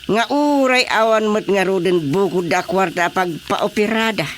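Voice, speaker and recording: A man's voice: high-pitched, moderate pace, fairly bright tone, a little hiss or hum. A man playing a grumbling, world-weary elderly husband with an Ilocano accent in a comic radio drama, drawling and exclamatory.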